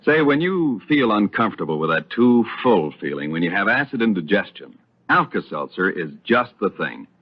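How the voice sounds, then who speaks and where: A man's voice: on the low side, very animated, normal speed, slight background noise. An American man announcing and reading ads on mid-century radio, with a polished, emphatic sales delivery. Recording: dull, lo-fi vintage radio recording.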